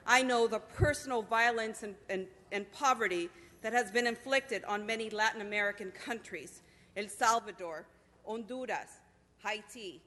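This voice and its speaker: Union leader from Nicaragua in a reverberant chamber. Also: female voice, on the high side, pushed and bright. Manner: formal, earnest delivery. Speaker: union co-president giving public comment. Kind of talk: prepared public comment speech. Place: large council chamber. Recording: long hall reverb from public microphone.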